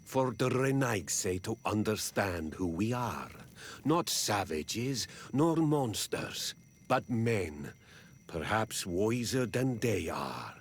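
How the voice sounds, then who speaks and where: An actor playing a calm, grave village elder in a video game, speaking in a measured, solemn way. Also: male voice, on the low side, a little hiss or hum. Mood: grave, gentle. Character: calm, grave native village elder, peace-seeking man. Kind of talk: video game cutscene acting.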